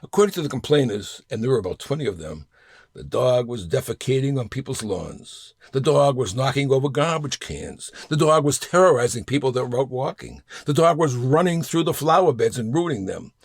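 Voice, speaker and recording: An older man reading his own personal essay aloud in a measured storytelling manner, pausing for emphasis. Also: medium pitch, very animated, slightly rough, dry recording, studio quality.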